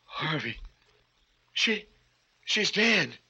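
A tense, bewildered radio-drama husband. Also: male voice, a little high, big pitch swings, drawn-out words, vocal fry, pushed and bright, husky, exaggerated voice. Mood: desperate. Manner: urgent, bewildered, pleading. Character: anxious husband, framed friend, agitated and desperate. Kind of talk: old-time radio drama acting. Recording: muffled, band-limited old radio recording.

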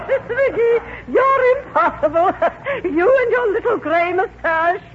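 Haughty matron: very high, genteel, exclamatory, flustered; vintage radio comedy, faintly noisy recording. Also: female voice, very animated, moderate pace, lo-fi sound. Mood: indignant. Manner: affected, genteel, gushing, exclamatory. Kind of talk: 1940s radio comedy acting.

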